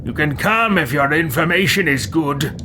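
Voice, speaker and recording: Man acting a curt, animated game hero. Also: on the high side, moderate pace, background noise, close to an everyday voice. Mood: impatient. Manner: curt, commanding. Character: curt, self-assured male Grey Warden hero. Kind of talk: video game character voice lines.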